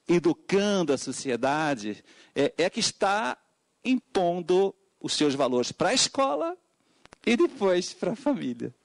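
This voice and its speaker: Portuguese-accented man, hesitant but emphatic. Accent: European Portuguese. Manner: many hesitant fillers, emphatic pitch jumps. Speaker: educator testifying at a public hearing. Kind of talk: formal testimony.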